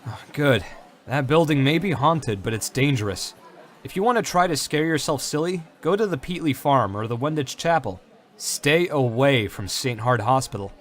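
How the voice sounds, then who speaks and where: A man's voice: medium pitch, animated, normal speed, close to an everyday voice. A man playing a friendly, concerned late-night diner server in a visual novel, casual and helpful. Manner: casual, helpful, gently cautioning.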